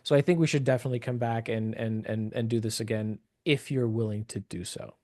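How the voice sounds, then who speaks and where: A man hosts a podcast in a mid-pitched voice, upbeat and full of thanks. His talk is easy and friendly, praising his guest at every turn. The recording is close and dry. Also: steady tone, a little fast, dark tone, no echo. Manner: upbeat, effusive, appreciative. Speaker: podcast host. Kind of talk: casual interview chat. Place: local area of Seattle.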